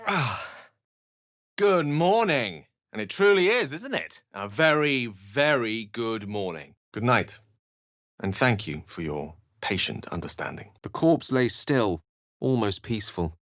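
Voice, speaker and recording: A man's voice: medium pitch, sing-song, measured pace, fairly bright tone, no room sound, studio quality. A man voicing an eloquent, courtly wizard companion in a fantasy video game, speaking formally and theatrically. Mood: wry, light, then regretful, tender. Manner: articulate, formal, theatrical phrasing. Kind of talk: fantasy video game voice acting, dramatic narration and dialogue.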